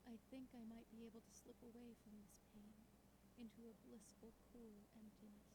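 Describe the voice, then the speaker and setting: A woman's voice: on the high side, normal speed, heavy background noise, lightly acted. A woman playing a tough, desperate young slum fugitive who narrates her own ordeal expressively in a visual novel game. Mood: dark, intense. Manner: expressive, tense delivery. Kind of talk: dramatic first-person visual novel narration.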